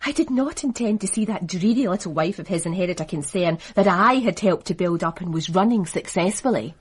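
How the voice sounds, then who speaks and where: Low husky cool businesswoman, radio play, sly and seductive. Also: expressive, a little fast. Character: cool, calculating businesswoman in her thirties. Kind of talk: radio drama acting.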